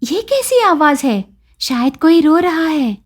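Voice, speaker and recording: Meek young daughter-in-law played by a woman: kind, suffering, plaintive, very high voice for a moral tale. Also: big pitch swings, slow pace, full character voice. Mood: surprised. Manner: pleading, plaintive.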